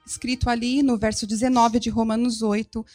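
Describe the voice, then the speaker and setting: A woman's voice: fairly high pitch, flat delivery, a little fast, a little mellow, soft background music. A woman with a Brazilian accent giving live consecutive interpretation of a sermon, phrase by phrase.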